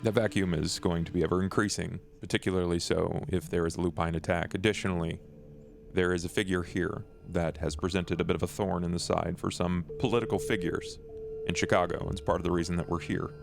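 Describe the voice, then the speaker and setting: A man playing a courteous, calculating vampire envoy in a tabletop game, with a gravelly voice and measured, formal delivery. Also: low-pitched, steady tone, noisy background.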